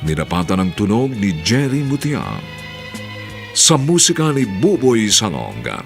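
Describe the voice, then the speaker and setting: A man with a Filipino accent, a radio drama announcer reading formal scripted credits in a theatrical, measured way. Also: lowish voice, gravelly, fairly bright tone. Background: music.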